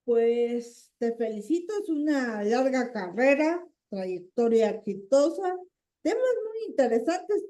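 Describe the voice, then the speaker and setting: Slow, high-voiced Mexican woman interviewer. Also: expressive, warm tone. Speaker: interviewer, channel host. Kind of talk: informal interview.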